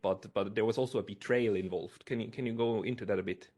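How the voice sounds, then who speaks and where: A man's voice: lowish voice, fairly even intonation, normal speed, darkish tone. A man hosting an interview in a conversational style. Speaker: interview host.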